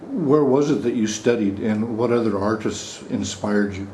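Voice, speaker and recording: A man's voice: fairly low pitch, normal speed, dark tone, a little hiss or hum. A man asking short, plain interview questions.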